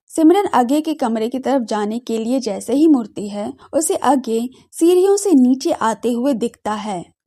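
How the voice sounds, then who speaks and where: ऊँची, मुलायम आवाज़ वाली महिला कथावाचक. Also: female voice, a little high, lively intonation, unhurried, dark tone. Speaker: narrator. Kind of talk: scripted audio drama narration.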